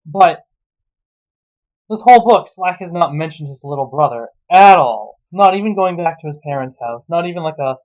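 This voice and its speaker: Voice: medium pitch, expressive, normal speed, a little mellow. A commentator ranting sarcastically and casually about a story, exasperated and swearing freely, on a dull lo-fi recording. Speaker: host reading and mocking an amateur story. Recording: dull, muffled lo-fi sound.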